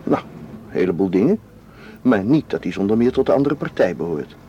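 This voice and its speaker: Devoted, persuasive male suitor for radio drama; lowish, warm tone, calm insistence, faint noise. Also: fairly quick, dull audio. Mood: reassuring. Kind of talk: Dutch radio play acting.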